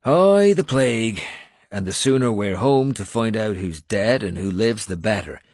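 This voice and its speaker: A man voicing a blunt, gruff Irish male warrior with an Irish accent, scolding and coarse. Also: lowish voice, animated, a little slow, darkish tone, dry recording, clean studio sound. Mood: curt.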